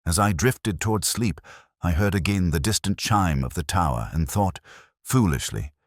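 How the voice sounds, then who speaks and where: A man playing a weary, melancholy older police detective, narrating an audio drama in a measured, reflective, literary way. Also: low voice, expressive, no echo, studio quality. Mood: brooding, reflective.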